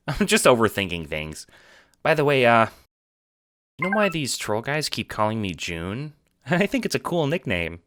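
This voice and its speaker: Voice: medium pitch, sing-song, a little slow, long pauses, a bit bright, slight background noise, voice pushed up. A performer voicing a chatty, nervous but upbeat teenage kid in an audio drama, rambling and goofy. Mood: breezy, amused.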